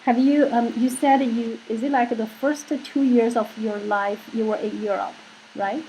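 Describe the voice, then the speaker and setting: A woman's voice: fairly high pitch, fairly even intonation, moderate pace, dark tone, slight background noise, rough recording. A woman interviewing a student, who asks questions in an encouraging way and keeps prompting him along. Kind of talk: admissions interview.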